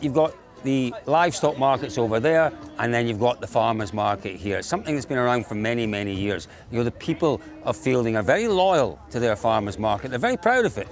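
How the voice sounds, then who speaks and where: Scottish male presenter, jokey and enthusiastic, mid-pitched, out at an open-air market. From Scotland. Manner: jovial, joking, enthusiastic. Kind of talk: chatty on-location presenting. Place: outdoor rural market.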